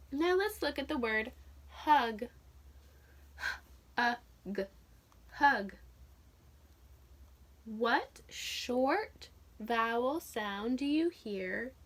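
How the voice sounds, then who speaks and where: A woman teacher reading a picture book aloud to children, sounding out letters and vowel sounds clearly and asking her listeners questions. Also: on the high side, a bit bright. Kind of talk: children's read-aloud phonics lesson.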